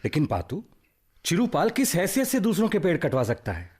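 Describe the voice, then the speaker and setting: An educated, decisive gentleman in a radio drama. His fairly high voice is expressive and full of earnest, concerned questioning. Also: brisk. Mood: indignant. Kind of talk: Hindi radio drama acting.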